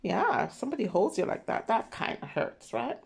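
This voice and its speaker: A woman's voice: mid-range voice, sing-song, normal speed, vocal fry, husky. A woman reading a picture book aloud to young children, explaining simply and checking in with questions. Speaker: children's read-aloud storyteller.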